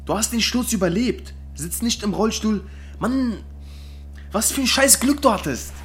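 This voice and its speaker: A man's voice: high voice, animated, unhurried. An actor playing a brash, teasing young male drug dealer in a radio drama, pushy and crude in streetwise slang.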